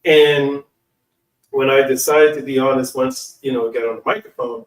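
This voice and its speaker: An American man telling personal stories casually, with an informal, slangy and halting delivery. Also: medium pitch, steady tone. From United States. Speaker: online speaker and channel host. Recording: echoey room, distant from mic.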